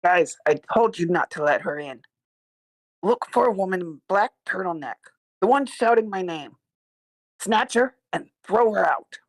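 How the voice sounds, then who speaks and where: A woman's voice: medium pitch, animated, measured pace, low-quality recording. Woman reading as a tough, blunt female gangster. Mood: annoyed. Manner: blunt, sweary street slang. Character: tough, curt nightclub woman gangster giving orders. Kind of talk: live screenplay table read.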